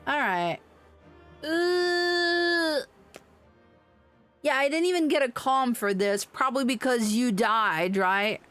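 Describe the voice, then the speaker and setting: A woman's voice: high voice, takes it slowly, pauses often, a bit bright, faint music behind. A woman livestreaming a game, giving casual commentary with sweary, exasperated venting. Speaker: livestreamer playing an online game. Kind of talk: casual gaming stream commentary.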